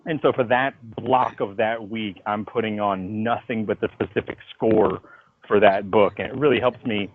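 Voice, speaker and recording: A man's voice: on the low side, normal speed, phone-quality sound, a little hiss or hum. A man chatting casually in an interview as a writer, telling stories in a slangy way full of swearing. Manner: animated, profane, slangy storytelling. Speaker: comic book writer being interviewed. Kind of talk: casual interview chat about own work. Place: phone call interview. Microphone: phone call.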